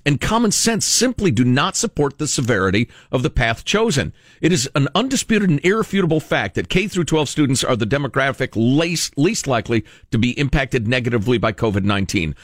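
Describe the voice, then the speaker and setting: Animated American male talk radio host. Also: medium pitch, no room sound, clean studio sound. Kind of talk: reading a letter aloud with conversational commentary.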